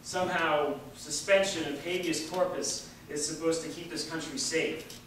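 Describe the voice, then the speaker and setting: A man reciting a political speech emphatically, with rhetorical repetition and deliberate pauses, in a large echoey hall. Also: on the high side, steady tone, fairly bright tone. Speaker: reader at a memorial staged reading. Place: large echoey indoor hall, distant microphone. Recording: echoey hall from far off, faint noise.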